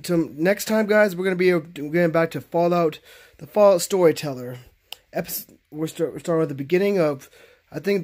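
Guy with kinda high voice doing a homemade puppet skit, stumbles and repeats himself. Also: a little mellow. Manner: halting, repetitive delivery. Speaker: host of puppet reaction videos. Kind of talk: casual improvised plush puppet skit. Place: carpeted bedroom.

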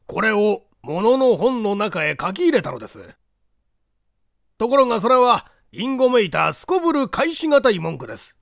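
A man voicing a courteous castle owner in an audiobook, explaining old secrets in formal, measured storytelling. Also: sits high, creaky, fairly bright tone, no echo, studio quality, pitched above the performer's own voice, exaggerated voice.

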